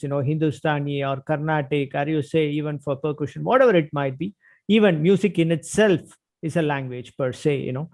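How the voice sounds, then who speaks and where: Higher-pitched man interviewing politely. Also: a little mellow. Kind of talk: conversational interview questioning.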